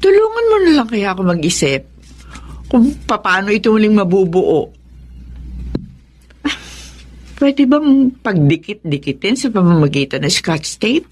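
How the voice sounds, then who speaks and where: A woman's voice: mid-range voice, sing-song, normal speed, a little mellow. A woman playing a sentimental middle-aged mother in love again, in a wistful, emotional radio drama style. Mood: eager. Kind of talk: Tagalog radio drama acting.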